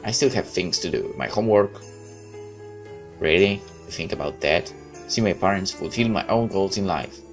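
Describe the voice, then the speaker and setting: Male game host, deep voice with lively swings, narrating a visual novel dramatically, halting at times. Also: faint music behind. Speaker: gaming video host. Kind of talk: reading a visual novel aloud with asides.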